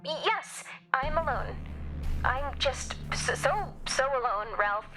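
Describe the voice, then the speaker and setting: High-voiced, sharp-tongued rogue. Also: female voice, lively intonation, slow, low-quality recording. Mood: coaxing, feigned sorrow. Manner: sharp-tongued, emphatic, exasperated. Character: fierce, foul-mouthed, blunt female rogue. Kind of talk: animated video game cutscene acting. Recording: loud music behind the voice. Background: music.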